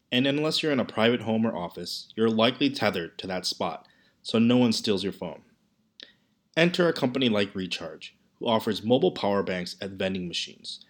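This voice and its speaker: Low-voiced man hosting a podcast. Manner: hesitant, filler-heavy questions. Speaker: podcast host. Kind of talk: scripted intro then interview questions.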